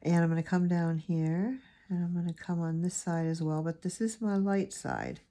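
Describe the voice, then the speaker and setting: Raspy, hoarse woman with a low voice. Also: very animated, moderate pace, dark tone. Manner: chatty, rambling, thinks aloud while painting. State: hoarse from allergies. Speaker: watercolor teacher. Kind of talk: casual painting tutorial. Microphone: phone camera.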